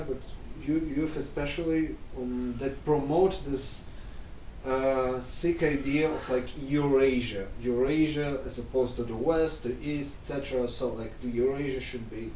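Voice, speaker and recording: A man from Moldova whose first language is Russian, talking in a casual conversation with many halting restarts and repeated words. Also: mid-range voice, warm tone, reverberant, far from the mic, a little hiss or hum, poor audio.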